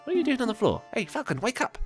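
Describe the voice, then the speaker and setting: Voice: medium pitch, huge pitch swings, racing, pitched above the performer's own voice. A game player voicing a small, earnest young male sparrow detective in a polite, hesitant way, with faint music behind. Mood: concerned.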